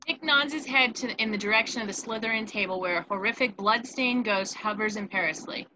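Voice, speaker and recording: A woman's voice: on the high side, fairly quick, pushed and bright, slight background noise, low-quality recording. A woman narrator reading out scripted screen directions for a table read.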